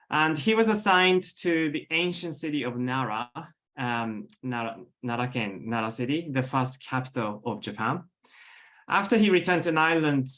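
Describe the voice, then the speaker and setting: Brief: male moderator, mid-pitched, formal and polite delivery. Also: fairly bright tone. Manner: polite, formal, slightly halting. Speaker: moderator introducing the speaker. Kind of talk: formal introduction.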